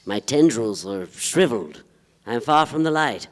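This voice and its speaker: A man's voice: mid-range voice, animated, unhurried, natural voice. A man playing a melancholy, pompous poet and part-time detective in a staged play reading, with florid, declamatory delivery. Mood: self-pitying. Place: live on a theatre stage. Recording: reverberant theatre hall.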